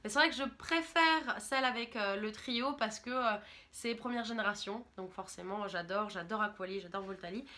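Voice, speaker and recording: A woman's voice: medium pitch, moderate pace, sharp tone. A French woman in her twenties, a YouTuber talking in a chatty, rambling, enthusiastic way in a casual vlog monologue. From France.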